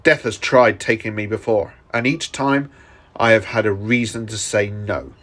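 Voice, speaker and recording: A man's voice: fairly low pitch, fairly even intonation, normal speed, a bit bright, close to an everyday voice. A man playing a determined, unyielding superhero in a dramatized audio story, stern and emphatic. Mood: grim, resolute. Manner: emphatic, snapping back.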